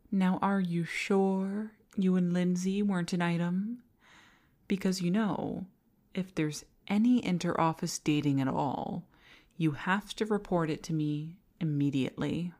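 A woman playing a flirtatious, possessive office boss in an intimate audio roleplay, coy and halting, sometimes slipping into breathy tones. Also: on the low side, a little mellow. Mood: probing, possessive. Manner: coy, halting, giggly, often dropping to breathy whispers.

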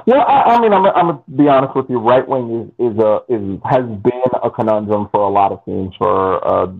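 A man's voice: on the low side, lively intonation, normal speed, gravelly. A man on a casual sports podcast panel, repeating words and phrases as he makes his point over a tinny line. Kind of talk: casual soccer discussion. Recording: tinny phone-like muffled line.

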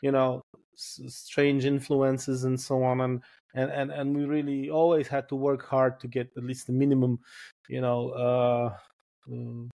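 Polish-accented man, flat and measured. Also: mid-range voice, creaky. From Poland. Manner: thoughtful, repeats words while searching for phrasing. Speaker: guest, firefighter colonel. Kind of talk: casual podcast interview.

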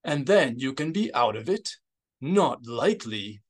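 A man's voice: medium pitch, big pitch swings, a little slow. A man playing a stern, suspicious military colonel in an audiobook, stiff, guarded and commanding. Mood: grim, irritated.

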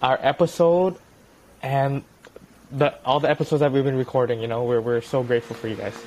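A young man co-hosting a podcast, talking in a loose, halting way with frequent restarts and repeated words. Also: mid-range voice, steady tone, low-quality recording. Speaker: podcast co-host. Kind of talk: casual podcast chat.

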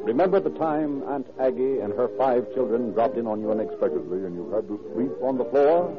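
An American male radio announcer in the emphatic, scripted 1930s manner. His mid-pitched, polished voice comes through a dull old recording with music behind it. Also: brisk.

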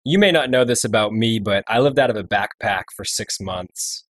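A man's voice: fairly low pitch, animated, normal speed, fairly bright tone, dry recording, studio quality. A man co-hosting a podcast, chatty and telling stories while asking questions. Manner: chatty, storytelling. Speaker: guest co-host. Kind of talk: casual podcast conversation.

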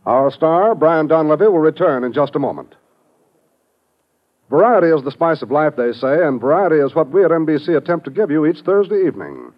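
A man's voice: on the high side, big pitch swings, fairly quick. A man with an American accent reading scripted copy as a polished, formal 1950s network radio announcer. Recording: muffled vintage radio recording.

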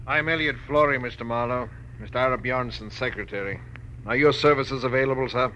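A man's voice: mid-range voice, a little fast, bright, forceful tone, lo-fi sound, natural voice. An actor playing a precise, deferential secretary in his forties, very polite and formal, in a 1940s radio drama. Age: 40s. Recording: faintly hissy old radio recording.

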